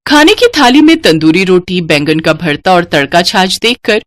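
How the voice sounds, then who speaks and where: A woman's voice: lowish voice, very animated, moderate pace, dry recording, clean studio sound. A woman reading a scripted family story as its narrator.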